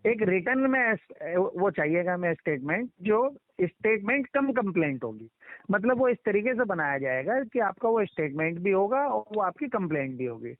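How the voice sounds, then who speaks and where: A man's voice: high-pitched, big pitch swings, normal speed, dry recording. A man explaining a procedure insistently over the phone, repeating his instructions and talking over others. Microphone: telephone line. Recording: muffled band-limited phone call recording.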